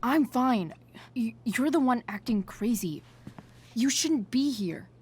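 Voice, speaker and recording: An actress voicing a tough, guarded, foul-mouthed teenage girl in a video game, with a husky voice and muttered, halting delivery. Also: a little high, lively intonation, a little mellow. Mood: defensive, uneasy.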